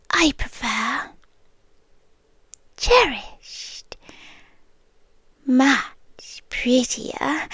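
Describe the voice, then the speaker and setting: Slow, whispery British monster girlfriend, acted by a woman. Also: sits high, fairly bright tone, no room sound, studio quality, full character voice. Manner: breathy half-whispered, intimate, slow and deliberate. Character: possessive centuries-old female spider creature, doting yet menacing girlfriend. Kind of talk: ASMR roleplay. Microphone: close dry microphone.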